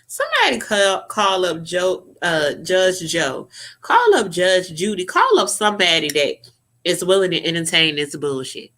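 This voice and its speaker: A young woman vlogger giving sassy, profane, animated reaction commentary to camera. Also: lowish voice, measured pace.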